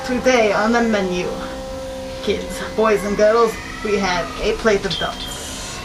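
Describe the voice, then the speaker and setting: A woman's voice: medium pitch, steady tone, takes it slowly, poor audio. A woman voicing an eccentric cat chef who hosts a children's cooking show with sing-song, gleefully creepy cheer. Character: eccentric menacing cat chef teaching kids. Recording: loud music behind the voice.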